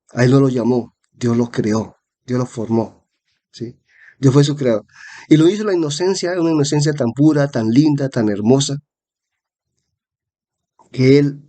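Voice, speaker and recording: A man's voice: mid-range voice, dark tone. A man giving a Bible-teaching sermon, congested with a cold and repeating phrases for emphasis. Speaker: preacher.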